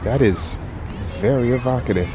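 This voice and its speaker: An actor playing a newly blind man in an audio drama, halting and understated, stubborn yet wistful. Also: mid-range voice, a crowd in the background, noisy background, natural voice. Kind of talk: naturalistic audio fiction acting.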